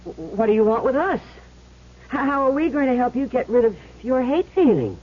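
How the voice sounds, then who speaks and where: An actress playing a fussy, money-minded wife and sham medium in a radio drama, chatty and easily flustered. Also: female voice, fairly high pitch, sing-song, normal speed, breathy edge. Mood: flustered, puzzled. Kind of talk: 1970s radio drama acting. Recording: muffled old radio recording.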